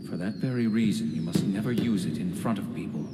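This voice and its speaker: A man playing a grave, kindly mage father in an anime dub, speaking in a measured, solemn way. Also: sits low, close to an everyday voice. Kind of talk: anime English dub acting. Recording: echoey long reverb, loud music behind.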